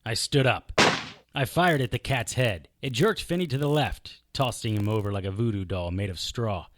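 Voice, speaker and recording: A man playing a terse, deadpan private investigator in a radio drama, both narrating and in dialogue. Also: medium pitch, moderate pace, no echo, clean studio sound, lightly acted. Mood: grim narration. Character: dry, world-weary male private investigator hero. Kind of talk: radio drama acting and hardboiled first-person narration.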